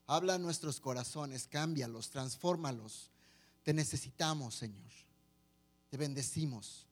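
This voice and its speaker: High-voiced Mexican pastor, joking and informal. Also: male voice. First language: Spanish. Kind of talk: informal sermon.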